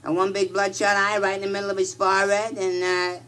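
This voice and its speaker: An actor playing a shifty, cocky knave with offhand, slangy talk in a comedy radio parody. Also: male voice, sits high, monotone, brisk, bright, forceful tone. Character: cocky, shifty male knave, street wise guy.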